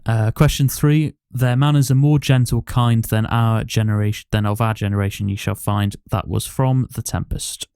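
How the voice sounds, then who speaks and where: A man's voice: on the low side, fairly even intonation, normal speed, few pauses, dark tone, smooth, dry recording, studio quality. A man hosting a casual podcast quiz, reading out questions and answers. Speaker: podcast host and quizmaster.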